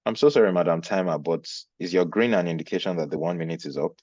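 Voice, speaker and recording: Male MC, low bass register, measured and formal, dry muffled call audio. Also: darkish tone, no echo. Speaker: contest chair. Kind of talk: formal event hosting. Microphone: video call.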